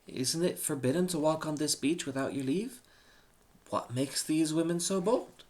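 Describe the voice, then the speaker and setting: Timid young storybook woman, performed by a man, hesitant and mumbling, pitched well up. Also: lively intonation, moderate pace, a little mellow, close to an everyday voice. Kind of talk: humorous storybook dialogue.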